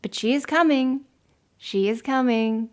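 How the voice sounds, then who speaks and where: A woman's voice: on the high side, normal speed, lightly acted. A woman voicing a strange, teasing village girl who half speaks and half sings. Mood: eerie.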